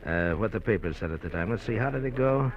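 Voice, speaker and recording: An actor playing a menacing, smooth-talking gangster who mocks and threatens with chuckles, in a 1950s radio drama. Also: male voice, on the low side, lively intonation, fast. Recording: dull lo-fi 1950s radio recording with faint hiss.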